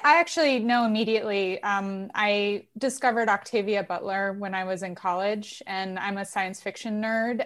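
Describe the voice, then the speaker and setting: A woman's voice: medium pitch, fairly bright tone. A woman filmmaker guest talking candidly and casually in an interview.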